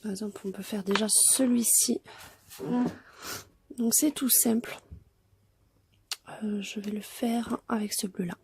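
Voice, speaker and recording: Hoarse soft-spoken woman with a cold. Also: mid-range voice, fairly even intonation, unhurried, pauses often, a little hiss or hum. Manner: soft-spoken, often near a whisper, hesitant. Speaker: craft tutorial host. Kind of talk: calm step-by-step craft tutorial.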